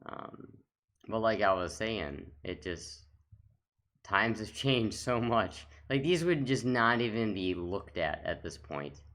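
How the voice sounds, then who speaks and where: A man's voice: on the low side, lively intonation, takes it slowly, a bit bright. A man giving casual, off-the-cuff commentary as a gaming video host, talking in a rambling, reminiscing way.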